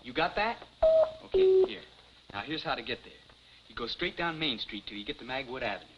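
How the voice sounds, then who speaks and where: A man's voice: medium pitch, huge pitch swings, brisk. A man playing an innocent, nervous young American in a 1950s television comedy, earnest and boyish, tending to stammer and plead. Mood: eager. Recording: noisy, muffled 1950s television soundtrack.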